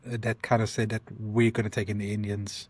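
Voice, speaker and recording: A South African man, a podcast guest, talking through his story in halting restarts and frequent ums. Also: lowish voice, level tone. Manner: thoughtful, speaks in halting restarts with many ums. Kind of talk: conversational interview.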